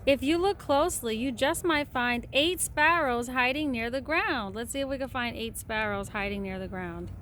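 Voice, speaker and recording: A woman reading a picture book aloud to a small child in a patient, sing-song way, pausing to count and point. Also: fairly high pitch, a bit bright, slight background noise.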